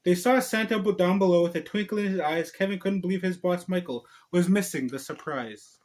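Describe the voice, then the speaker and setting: A man's voice: high voice, brisk, a little mellow. A man reading a storybook aloud and chatting casually to viewers, with a playful, giggly manner.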